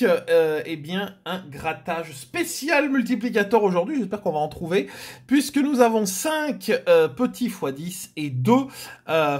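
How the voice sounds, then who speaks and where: A man hosting a scratch-card video in a casual chat style, relaxed and hesitant with frequent fillers. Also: high-pitched. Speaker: host of a scratch-card channel.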